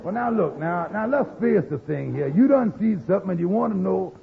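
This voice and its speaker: A man's voice: high-pitched, expressive, fairly quick, gravelly, noisy background. An actor playing an earnest, fatherly friend who gives folksy moral advice in an old radio comedy. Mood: earnest, firm. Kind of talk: 1950s radio comedy acting. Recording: tinny old radio recording.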